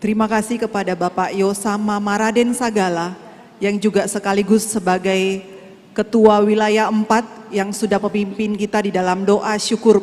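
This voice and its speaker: An emcee making polite, formal closing announcements at a church Christmas celebration. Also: mid-range voice. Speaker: event emcee. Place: large church hall. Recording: long hall reverb.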